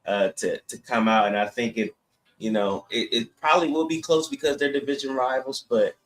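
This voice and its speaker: A man hosting a sports podcast, chatting casually in a halting way with repeated words. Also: medium pitch, fairly even intonation, poor audio.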